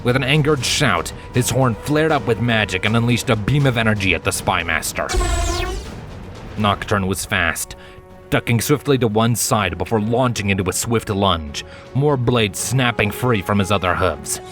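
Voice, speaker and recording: A man's voice: fairly low pitch, moderate pace. A man reading fan fiction aloud as a dramatic, scripted story narrator. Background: background music.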